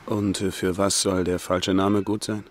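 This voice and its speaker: An actor playing a gruff, skeptical small-town deputy sheriff in a German radio crime drama, speaking in blunt, curt questions. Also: male voice, low-pitched, level tone, measured pace, noisy background.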